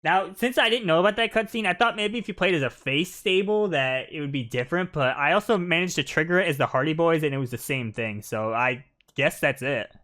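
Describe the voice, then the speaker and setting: A man's voice: a little high, brisk, pushed and bright. A man giving casual, informal and chatty commentary on a video game. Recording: game music behind the voice.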